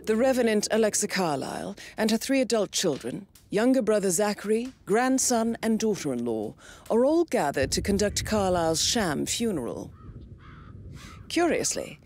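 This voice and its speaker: A woman's voice: mid-range voice, darkish tone. A cool female mission handler. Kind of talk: game cutscene voice acting.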